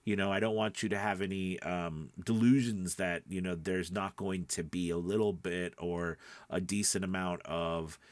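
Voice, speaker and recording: Low-voiced man coaching in a dry-sounding space. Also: dry recording. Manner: conversational, emphatic, often checking in with listeners. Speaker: voiceover coach and podcast host. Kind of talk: casual instructional talk.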